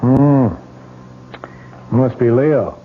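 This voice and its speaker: An actor playing a skeptical, hard-nosed police lieutenant in a 1970s radio drama, questioning in a brisk, matter-of-fact way. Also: male voice, mid-range voice, phone-quality sound, a little hiss or hum. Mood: businesslike. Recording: thin vintage radio broadcast.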